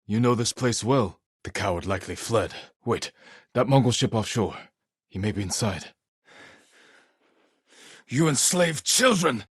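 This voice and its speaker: A man playing a stoic, honorable samurai warrior in a video game, husky and measured, with terse, solemn delivery. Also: fairly low pitch, lively intonation, dry recording, studio quality. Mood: battle cry. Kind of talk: video game dramatic voice acting.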